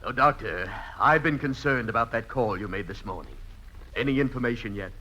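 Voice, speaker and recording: A man's voice: mid-range voice, fairly quick, sharp tone, close to an everyday voice. A man playing a breezy, mercenary doctor in a theatrical 1950s radio comedy style, hesitating with little "eh" sounds. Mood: hushed concern. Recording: noisy 1950s radio broadcast recording.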